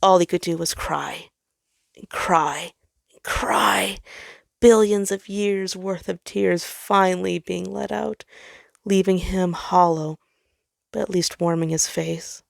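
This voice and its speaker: Casting: female narrator for dramatic fan fiction, slightly low register, dry unechoed sound. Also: steady tone, slow, creaky, no room sound.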